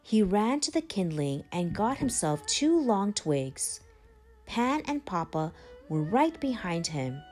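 A woman reading a children's picture book aloud in a measured, animated storytelling manner. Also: medium pitch. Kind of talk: expressive storybook read-aloud. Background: background music.

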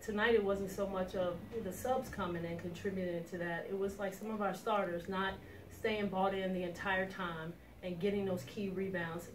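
A middle-aged woman head coach talking directly and confidently in a post-game interview, recorded in a small office. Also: lowish voice. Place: small indoor office room with sofa. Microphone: far camera. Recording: roomy echo from a distant mic with crowd noise.

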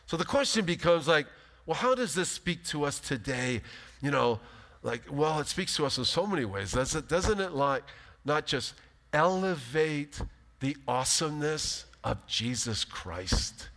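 A pastor in his fifties giving an animated, emphatic sermon from a church stage. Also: a little high, unhurried. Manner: animated, emphatic preaching with big gestures. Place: large church stage, indoors. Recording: long hall reverb.

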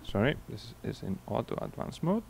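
A man's voice: medium pitch, moderate pace, vocal fry, breathy edge. Icelandic guy doing a keynote on a stage, handheld mic, chatty storytelling with lots of uhs. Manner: relaxed storytelling with frequent fillers and restarts. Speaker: keynote speaker. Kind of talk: conference keynote talk. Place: large conference hall on stage. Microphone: handheld microphone.

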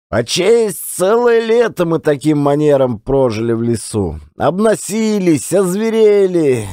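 A male storyteller with a high voice narrates in a folksy, colloquial way. He takes his time, and his intonation swings widely. It is a dramatic reading of a classic tale. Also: a little mellow, no echo, studio quality. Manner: folksy, colloquial yarn-spinning. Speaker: audiobook narrator, actor.

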